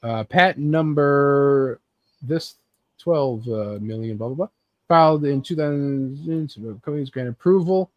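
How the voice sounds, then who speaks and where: A man with a mid-pitched voice leads a loose geek-culture chat in a dry, close recording. He rambles, swears freely and slips into acting out small imagined scenes. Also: a little slow, dry recording, muffled recording. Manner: rambling, acts out imagined scenes with sound effects. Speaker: host leading a group chat about games and films.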